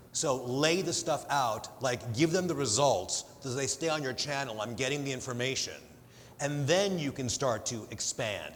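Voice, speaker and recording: A man with a big voice speaking on a conference panel, lively and jokey, acting out little examples. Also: mid-range voice. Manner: lively, jokey, talks in asides and acted examples. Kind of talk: panel discussion. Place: large conference hall. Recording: conference hall reverb with faint room noise.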